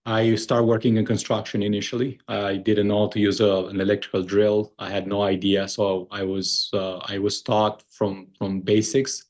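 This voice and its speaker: Romanian guy, accent, low voice, earnest, lots of uhs and restarts. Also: level tone, normal speed, hardly any pauses, darkish tone. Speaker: guest, moving company founder. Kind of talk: conversational interview.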